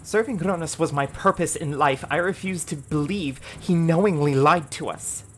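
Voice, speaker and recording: A woman's voice: deep voice, moderate pace, a little hiss or hum, natural voice. A woman playing a defiant, imperious warrior vizier in a fantasy audio drama, speaking in terse, dramatic lines. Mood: troubled, resolute. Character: commanding, defiant female vizier of poisons, a warrior. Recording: music bed behind.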